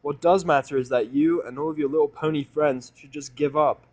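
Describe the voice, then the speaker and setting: A man's voice: on the high side, steady tone, brisk, close to an everyday voice. Man acting a bitter, snappish human misanthrope. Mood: cold. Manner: snappish, ranting, swearing. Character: bitter, hostile, sarcastic human male misanthrope.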